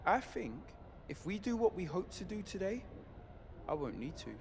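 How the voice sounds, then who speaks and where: High-voiced, earnest rebel man, reverberant. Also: big pitch swings, normal speed, darkish tone. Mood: quiet conviction. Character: earnest, determined male rebel in dystopian city. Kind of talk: audio drama dialogue. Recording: long reverb, faint background noise.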